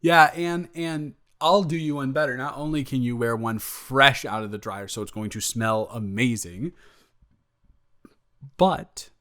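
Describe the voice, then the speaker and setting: A man's voice: lowish voice, fairly bright tone. A man playing a sheepish, good-natured roommate in an improvised roleplay audio, talking casually with hesitant, stammering repeats. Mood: eager, playful. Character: sheepish, awkward, good-natured male roommate, secretly smitten.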